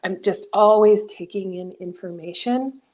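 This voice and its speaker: A woman in her forties from New Mexico, a guest coach telling her life story in an interview, reflective and animated, often laughing. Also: medium pitch, a little slow. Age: 40s.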